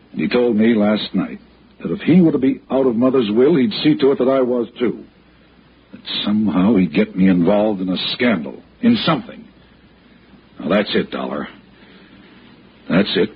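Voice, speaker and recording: Low-pitched anguished son, swooping delivery. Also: male voice, sing-song, breathy edge, heavily acted. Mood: earnest, shaken. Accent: American. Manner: earnest, fraught, halting. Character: anguished, pleading respectable son, male. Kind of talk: 1960s radio drama acting. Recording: muffled, hissy old radio broadcast.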